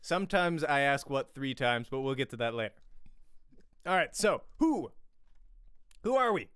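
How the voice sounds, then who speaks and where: Animated man teaching, clear and dry. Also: medium pitch, moderate pace, no echo. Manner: animated, chatty, repeats questions for emphasis. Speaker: acting teacher and voice actor. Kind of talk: online voice acting lesson, casual and conversational. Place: small home room, indoors. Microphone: close studio microphone with pop filter.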